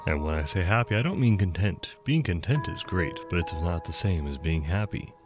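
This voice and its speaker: A man with a stuffy nose voicing a polite, earnest male examiner in an office, over loud game music. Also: deep voice, expressive, moderate pace. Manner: courteous, earnest, insistent.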